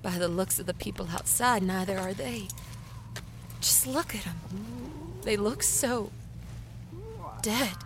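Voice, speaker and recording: A woman's voice: mid-range voice, takes it slowly, long pauses, noisy background. A woman acting a practical, dryly sarcastic small-town library worker in an audio drama. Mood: uneasy.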